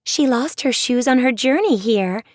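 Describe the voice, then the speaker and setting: A woman voicing a kind, sensible teenage girl who speaks gently. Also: fairly high pitch, expressive, moderate pace, no room sound, studio quality, pitched above the performer's own voice, close to an everyday voice. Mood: gentle. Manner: gentle and explanatory.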